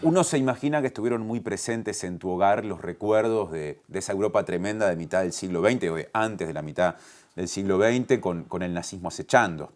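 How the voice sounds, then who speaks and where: A man with a Uruguayan Spanish accent, interviewing for a television news show in a measured, probing way with hesitant phrasing. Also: sits low.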